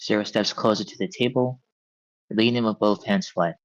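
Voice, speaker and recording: A man's voice: on the low side, normal speed, no echo. A man reading script directions aloud at a table read, on a slightly dull recording. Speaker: stage-direction reader.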